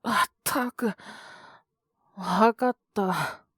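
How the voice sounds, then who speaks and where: A woman's voice: mid-range voice, drawn-out words, fairly bright tone, husky, dry recording, clean studio sound, exaggerated voice. A woman voicing a sick, tired young male teacher in an audio drama, brusque and sighing, with a weary mutter. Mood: reluctantly caring. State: feverish, coughing. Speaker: voice actor. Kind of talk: Japanese situation-voice audio drama.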